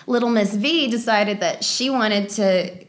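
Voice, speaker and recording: A woman's voice: mid-range voice, unhurried. A woman in her twenties, a native Polish speaker, telling personal stories casually and informally as an actress being interviewed. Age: 20s. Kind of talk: casual chat, personal storytelling.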